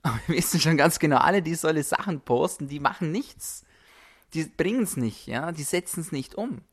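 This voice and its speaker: A man's voice: fairly high pitch, expressive, moderate pace, husky. Emphatic man hosting a motivational podcast. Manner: emphatic, rhetorical questions and repetition. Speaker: podcast host, health coach.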